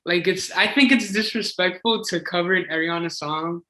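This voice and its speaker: Chatty young man with a bright voice. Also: high voice, moderate pace. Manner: chatty, eager, many "like" fillers. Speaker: singing contestant. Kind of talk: casual interview answers. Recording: echoey room.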